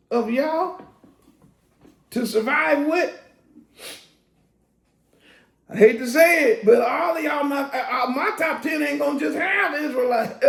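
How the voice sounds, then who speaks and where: A man preaching a casual sermon, swinging from loud, laughing, shouted asides to slow, drawn-out scripture reading. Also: very high-pitched, creaky, reverberant. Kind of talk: street-style sermon teaching.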